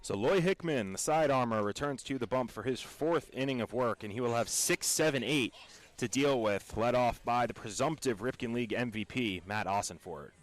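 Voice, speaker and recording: A man with an American accent calling baseball play-by-play for radio in a measured, descriptive storytelling way. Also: mid-range voice. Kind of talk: radio sports commentary.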